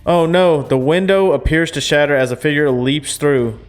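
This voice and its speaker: A man's voice: a little high, normal speed, soft background music. A man reading a visual novel aloud as a game streamer, mixing narration with casual reactions.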